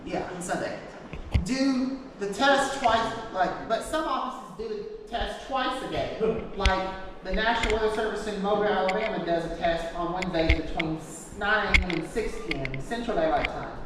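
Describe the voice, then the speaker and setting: A woman giving an informal class talk, partly reading from notes, speaking haltingly and repeating her phrases. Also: medium pitch. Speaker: presenter teaching a class. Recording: echoey hall, distant mic, noisy background.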